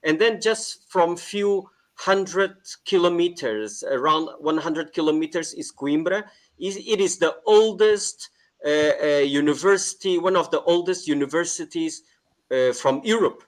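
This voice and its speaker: Male moderator, slightly high voice, stumbling and repetitive delivery. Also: a little slow. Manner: repeats words, restarts questions. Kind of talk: online panel discussion.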